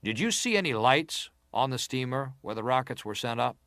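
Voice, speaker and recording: A senator leading a historical inquiry. He puts his questions formally and deliberately, probing for detail. His voice is of average male pitch, recorded with no room sound. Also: lively intonation, fairly bright tone, no echo, clean studio sound. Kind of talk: dramatized reading of historical testimony.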